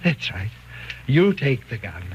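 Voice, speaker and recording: An actor playing a domineering, murderous hypnotist in a 1940s radio thriller, slow, commanding and coaxing. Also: male voice, mid-range voice, very animated. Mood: menacing, controlling. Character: sinister, domineering male hypnotist with murderous calm. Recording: dull, noisy 1940s radio recording.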